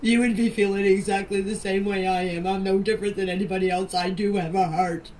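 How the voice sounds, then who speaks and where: An older woman speaks with a slightly low voice in an insistent, plain-spoken way, as if pleading her case in a group chat. The amateur recording places her away from the mic, with some faint background noise. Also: steady tone, brisk, darkish tone. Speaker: grandmother answering questions in a group voice chat. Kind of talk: emotional personal statement in voice messages. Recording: distant, noisy amateur voice-message recording.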